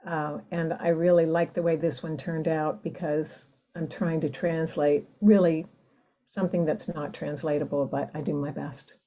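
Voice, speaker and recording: A woman poet talking reflectively and reading her work in a thoughtful, deliberate way. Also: low-pitched, fairly even intonation, warm tone. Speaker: poet, podcast guest. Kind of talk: reflective talk and poetry reading.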